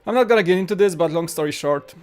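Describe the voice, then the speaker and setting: A man in his thirties whose first language is Polish chats casually as a livestream host. His voice sits a little high for a man, and he rambles, circling back to repeat short phrases. Music plays behind him. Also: fast talker, a little mellow. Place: small home studio room. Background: background music.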